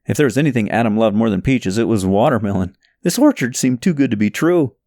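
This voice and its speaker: A man reading a novel aloud as scripted narration, telling the story in an expressive, dramatic way. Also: mid-range voice, fast talker, dry recording, studio quality.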